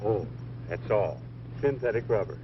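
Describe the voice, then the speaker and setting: A man plays a self-assured American city columnist in a 1940s comedy, full of wisecracks. His delivery is very animated. The sound is muffled, with clear noise behind it. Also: lowish voice, moderate pace, lightly acted. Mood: wry, teasing. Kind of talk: 1940s comedy film acting. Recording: muffled, noisy vintage film soundtrack.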